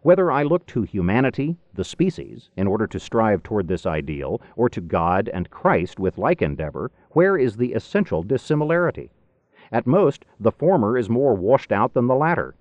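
Deep-voiced man reading, richly varied intonation. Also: big pitch swings, moderate pace, darkish tone, no echo. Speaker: audiobook reader. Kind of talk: scripted audiobook narration of philosophy. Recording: dull, lo-fi cassette tape recording.

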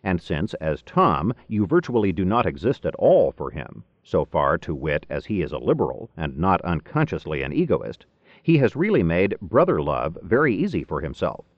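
A man narrating a philosophy book in a formal, deliberate, rhetorical manner, with emphatic phrasing, on a cassette recording. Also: sits low, wildly swooping pitch, no room sound. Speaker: audiobook narrator. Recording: dull lo-fi cassette tape recording.

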